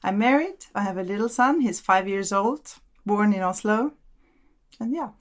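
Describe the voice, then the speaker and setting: Austrian woman, 40s, interview guest, relaxed and reflective, mid pitch. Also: normal speed. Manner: relaxed, thoughtful, repeats words while searching. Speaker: podcast guest. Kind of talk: casual interview conversation.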